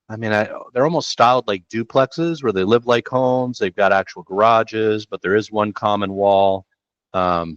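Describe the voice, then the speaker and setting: A man's voice: lowish voice, dry recording. Laid-back slangy man on a headset. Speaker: real estate show host. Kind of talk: casual listing commentary. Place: small room at a desk. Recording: dull dry home recording.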